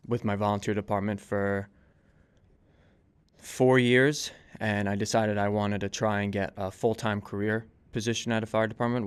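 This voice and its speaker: A man in his twenties with a clean, smooth voice on the low side. He talks as a podcast guest, telling stories informally and feeling his way through phrases in a casual conversational style. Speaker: podcast guest, volunteer firefighter and police dispatcher. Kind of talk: casual conversational interview answers.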